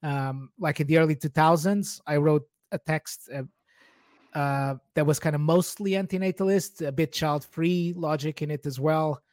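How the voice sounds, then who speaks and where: A man's voice: on the high side, steady tone, normal speed, a little mellow, no room sound, clean studio sound. A man in his forties from Portugal, a native Portuguese speaker, chatting informally and in a rambling way as a podcast guest. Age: 40s. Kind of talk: casual personal chat.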